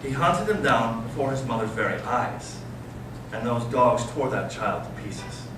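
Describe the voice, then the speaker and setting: A man playing an intense, anguished intellectual elder brother in a staged reading, swinging from hushed to impassioned. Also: medium pitch, animated, a little slow, lightly acted. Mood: grim, intense. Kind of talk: staged readers theater monologue and storytelling. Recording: echoey stage, distant mic, clear noise.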